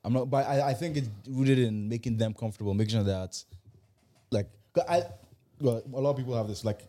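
A man's voice: mid-range voice, a little fast, mellow. A young Irish man chatting casually on a podcast, earnest and reflective. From Ireland. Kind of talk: casual group chat.

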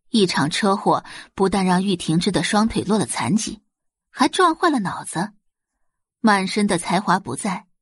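Husky, expressive woman narrating fiction. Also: medium pitch, no echo, clean studio sound. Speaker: audiobook narrator. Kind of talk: dramatic scripted storytelling.

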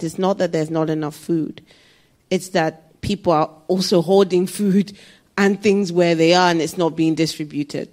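A woman speaking on development finance and energy, answering questions with candid, animated asides. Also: low-pitched. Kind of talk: answering audience questions at a talk.

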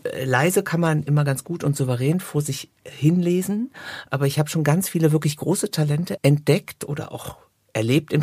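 A man with a husky voice and some gravel in it hosts a podcast interview. He speaks in an informal, chatty way, asking questions in a conversational tone. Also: a little high, darkish tone, no echo, clean studio sound. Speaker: podcast host interviewing two guests.

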